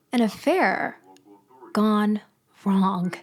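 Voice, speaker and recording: A woman performs a vengeful ex-girlfriend, newly out of prison, in an intimate audio roleplay. She speaks slowly at a medium pitch, leaving loaded pauses. Her words are pointed and sardonic, with a mock-sweet edge over the bitterness. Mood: feigned shock. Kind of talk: girlfriend ASMR roleplay acting.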